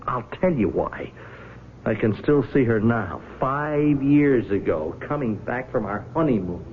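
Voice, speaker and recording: Excitable, cornered schemer, swooping voice. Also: male voice, mid-range voice, slow. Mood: bitterly mocking. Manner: excitable, stammering under pressure. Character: cornered, bitter male schemer, once a hopeful husband. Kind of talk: 1970s radio drama acting. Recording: tinny old radio broadcast, faint noise.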